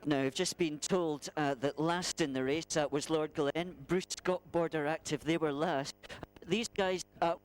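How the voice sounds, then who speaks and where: A man's voice: fairly high pitch, fairly even intonation, unhurried, clean tone. A man reporting live as a trackside interviewer at an outdoor sporting event. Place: outdoors at a windy racetrack finishing line. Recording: noisy windy outdoor trackside ambience.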